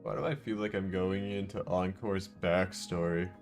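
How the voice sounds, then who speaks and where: Man, low-pitched, casual live game commentary, muses aloud, echoes game lines, faint game music behind. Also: steady tone, normal speed. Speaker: game streamer.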